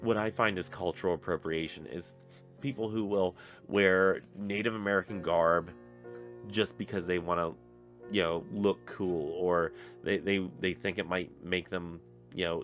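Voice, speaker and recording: Young man, very deep voice, congested, casual rambling book chat over soft guitar. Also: measured pace. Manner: rambling, many fillers and restarts. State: stuffy nose. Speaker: book reviewer on a pagan channel. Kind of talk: casual spoken book review.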